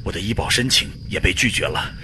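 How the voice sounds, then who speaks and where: Male actor as desperate middle-aged father, low voice, halting emotional pleas, radio drama, noisy recording. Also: expressive, pushed and bright, exaggerated voice. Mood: anguished, pleading.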